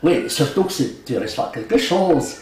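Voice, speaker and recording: Animated older man, Arabic native speaker. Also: on the high side, normal speed. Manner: animated, joking, laughs often, many hesitations. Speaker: Quran translator being interviewed. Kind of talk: casual interview conversation. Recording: roomy, mic at a distance.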